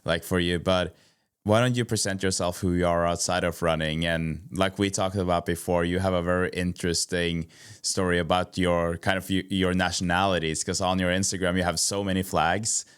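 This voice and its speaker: A man's voice: low voice, flat delivery, moderate pace, clean tone. A man from Norway hosting a running podcast, chatting in a friendly, hesitant way. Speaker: podcast host. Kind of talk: casual interview chat.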